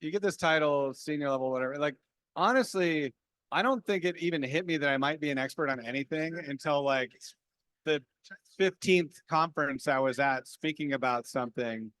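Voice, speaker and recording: Candid, self-deprecating man, slightly high voice. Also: normal speed, a bit bright, clear, resonant tone, no echo. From small town, grew up there. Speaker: panelist, experienced software developer. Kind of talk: conversational conference panel answer.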